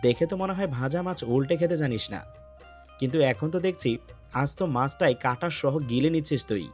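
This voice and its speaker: A man's voice: mid-range voice, moderate pace, a little mellow, faint music behind, lightly acted. A man playing an intense, hot-tempered, possessive young lover in an audio love story, with a commanding, menacing delivery. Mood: low, intense.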